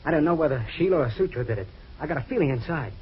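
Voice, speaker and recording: An actor playing a nervous, paranoid husband who pleads anxiously and urgently, in an old-time radio drama. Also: male voice, a little high, lively intonation, very fast, natural voice. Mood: nervous, fearful. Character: nervous, paranoid husband begging a detective for help. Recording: dull, noisy vintage radio recording.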